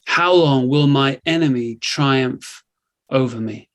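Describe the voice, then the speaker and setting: Slow, gentle male scripture reader. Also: mid-range voice. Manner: measured, gentle. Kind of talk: solemn Bible reading.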